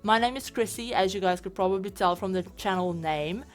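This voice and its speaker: A woman's voice: mid-range voice, a little fast. A woman with a South African accent, chatting casually over her gameplay as a channel host, rambling with lots of fillers. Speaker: game building channel host.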